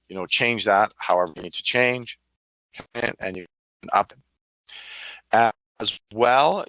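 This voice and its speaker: A man giving a live online software demonstration, explaining informally and haltingly over a conference call. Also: fairly low pitch, no room sound. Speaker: software demo presenter. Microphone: phone or web conference call. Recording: narrow phone-like line.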